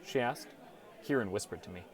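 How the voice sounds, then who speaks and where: A man's voice: on the low side, animated, a little fast, some chatter behind. A man reading a scripted first-person story as an audiobook narrator.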